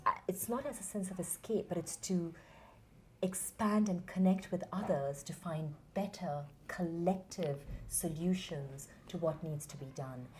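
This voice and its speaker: A woman with a low, mellow voice answers interview questions in an earnest, measured way. She speaks with deep conviction, and faint ambient noise hums behind her. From India. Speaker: interview guest, founder of a theatre academy.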